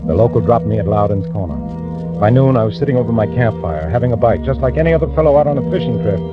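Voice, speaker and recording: An actor playing a meek, guilty office employee in 1940s radio drama, confiding as he narrates and halting, deferential when he speaks to others. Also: medium pitch, animated, natural voice. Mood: brooding. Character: meek male office employee, secretive, guilty, nervously deceitful. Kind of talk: 1940s radio acting, first-person narration and dialogue. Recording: vintage radio recording, muffled, music bed.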